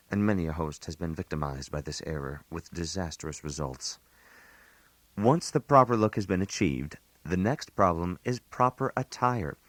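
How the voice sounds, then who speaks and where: An actor playing a put-upon island host in a scripted comic radio monologue, giving genteel advice in a precise, mock-formal manner. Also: male voice, low-pitched, dry recording. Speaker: narrator. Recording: analog radio aircheck.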